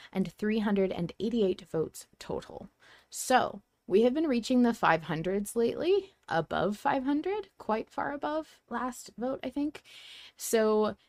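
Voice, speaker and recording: A woman's voice: medium pitch, no room sound, studio quality. A woman hosting a podcast, talking in a casual, conversational way and reacting readily. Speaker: podcast host.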